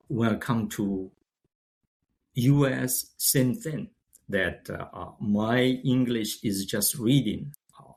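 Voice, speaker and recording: Gravelly older man, Chinese accent. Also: on the low side, a little mellow. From China. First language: Shanghainese. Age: 60s. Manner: halting, many restarts and fillers. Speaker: guest, company founder. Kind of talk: casual interview talk.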